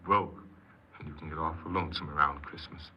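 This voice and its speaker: A man's voice: very deep voice, steady tone, brisk, husky. An actor playing a bitter, downcast young American ex-convict recounting his life plainly in a 1950s radio drama. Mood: glum, resentful. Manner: plain-spoken, clipped, glum. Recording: noisy, muffled 1950s radio recording.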